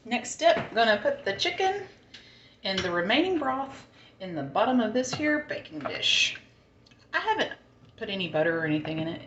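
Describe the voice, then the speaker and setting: A woman's voice: on the low side, a bit bright, echoey room, muffled recording, a little hiss or hum. A woman with a Southern American accent, chatting casually and thinking aloud as she cooks in her home kitchen. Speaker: home cook. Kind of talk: casual cooking vlog chat.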